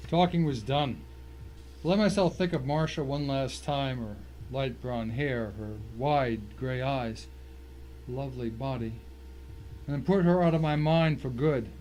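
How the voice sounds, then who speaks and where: A man's voice: medium pitch, a little slow, darkish tone, lightly acted, rough recording. A man playing a tense, determined man who narrates his own ordeal in a dramatic first-person story, with noise behind him. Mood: resolute, grim. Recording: clear background noise.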